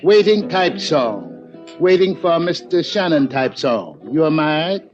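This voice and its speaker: An actor playing an easygoing calypso musician in 1950s radio drama, talking without hurry in clipped grammar. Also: male voice, on the high side, animated, unhurried. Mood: laid-back. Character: slow, easygoing calypso guitarist and singer. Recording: muffled old radio recording. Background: soft guitar.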